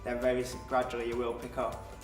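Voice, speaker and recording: A young man from South Cumbria speaks in an even, level voice, reflective and loosely phrased as he answers interview questions as a graduate trainee. He is picked up from a distance in an echoey room, with faint music behind. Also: mid-range voice, fast. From South Cumbria, England. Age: young adult.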